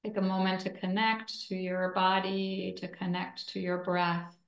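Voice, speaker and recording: Woman, yoga nidra instructor, soothing guided meditation, flat gentle intonation, long pauses, echoey room. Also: lowish voice.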